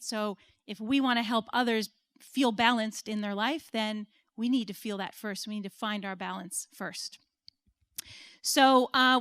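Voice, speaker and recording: A woman's voice: fairly high pitch, a bit bright, no echo, clean studio sound. American woman in her forties giving a talk. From United States. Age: 40s. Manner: earnest, personal, laughs at herself. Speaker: conference speaker. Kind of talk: conference talk. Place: stage at an event.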